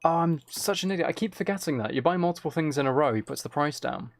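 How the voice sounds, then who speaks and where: Low-key man with creaky voice, gaming. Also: lively intonation, fast talker. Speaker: streamer playing a game while chatting with viewers. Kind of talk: casual game commentary and chat.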